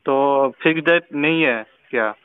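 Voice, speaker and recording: A man's voice: mid-range voice, a little slow, clean tone, no echo. A man with an Indian accent as a caller, asking questions informally and persistently, a little hesitant, over a phone. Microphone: phone call recording. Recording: tinny narrow phone line.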